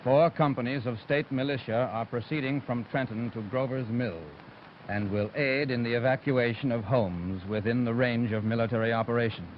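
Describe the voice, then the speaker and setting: A male actor plays a military radio officer in a 1930s drama. He has a medium-pitched voice and a formal, official urgency. The sound is dull with slight noise. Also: steady tone, moderate pace, close to an everyday voice. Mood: formal, grave. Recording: dull lo-fi old broadcast, faint noise.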